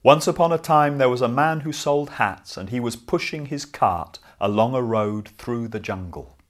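A man telling a children's story as an oral storyteller, in measured, dramatic cadences with deliberate pauses. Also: medium pitch.

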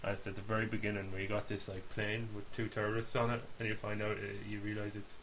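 A man's voice: fairly low pitch, little pitch movement, fast talker, fairly bright tone. A man co-hosting a podcast, bantering casually and bluntly about films and games, with plenty of swearing. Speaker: podcast co-host. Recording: faint background hiss.

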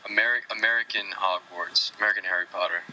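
A man's voice: low voice, quick pace, slight background noise, low-quality recording. A man co-hosting a casual podcast, telling stories with a lot of laughter. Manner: laughing, animated storytelling. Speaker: podcast co-host. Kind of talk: casual chat.

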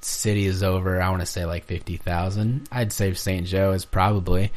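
A young man from Iowa hosts a laid-back podcast, rambling and joking with plenty of fillers. His voice sits very low. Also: steady tone, moderate pace, a little mellow. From Southwest Iowa. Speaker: podcast host. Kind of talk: casual chat.

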